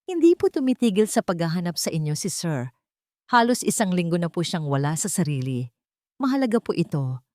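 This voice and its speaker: A woman's voice: fairly low pitch, very animated, brisk, a little mellow, dry recording. A woman voicing a polite female secretary in an audio romance, deferential and imploring. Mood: polite pleading.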